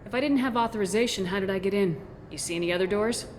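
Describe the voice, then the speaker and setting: A woman's voice: medium pitch, fast talker, a little hiss or hum, lightly acted. A woman playing a confident space soldier in a video game, terse, assured and businesslike. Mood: assured, bluffing. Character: confident, brisk female space soldier on surveillance.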